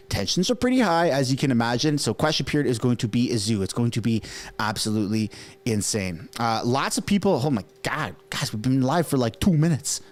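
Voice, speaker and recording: A man whose first language is French gives rambling, sarcastic live commentary as a streaming host, chatting directly with his viewers. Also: mid-range voice. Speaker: livestream political commentator.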